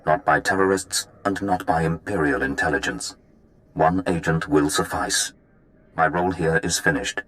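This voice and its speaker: An actor playing a cold, imperious Sith Lord in a video game, solemn and commanding. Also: male voice, extremely low pitch, moderate pace, fairly bright tone.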